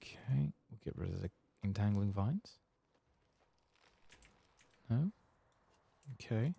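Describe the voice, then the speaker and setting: Very deep, creaky soft-spoken man. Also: animated, slow pace. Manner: casual, muttering to himself, sweary. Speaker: ASMR gaming streamer. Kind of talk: soft-spoken, sometimes whispered, ASMR game playthrough commentary.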